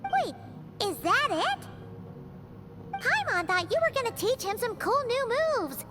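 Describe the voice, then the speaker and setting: A very high, bouncy voice of a chirpy little floating girl companion. Her pitch leaps all over as she chatters eagerly in a game cutscene, with game music clearly underneath. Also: big pitch swings, measured pace, heavily acted. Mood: cheeky. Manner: bubbly, talks about herself in third person. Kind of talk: game voice acting.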